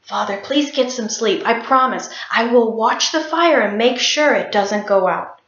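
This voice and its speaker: A woman storyteller plays a dutiful young son from a folk tale, earnest and soft-spoken. The delivery is slow, and the room echoes around the voice. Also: fairly high pitch. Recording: roomy, slightly dull sound.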